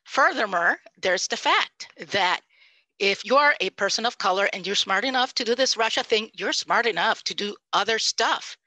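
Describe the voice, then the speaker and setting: A Puerto Rican woman in her fifties, a native Spanish speaker, giving an animated, emphatic panel talk over a headset microphone on a video call. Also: medium pitch, normal speed, dry recording, rough recording. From Puerto Rico. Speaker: panelist, language professor. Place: home room, indoors. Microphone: headset mic.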